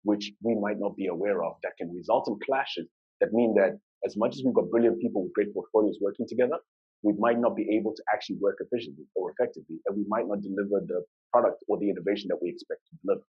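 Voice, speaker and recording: Man from Zimbabwe, Shona native speaker, rapid speech, lowish voice, muffled recording. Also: husky. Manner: conversational, with filler words and restarts. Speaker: conference speaker. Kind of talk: conference talk.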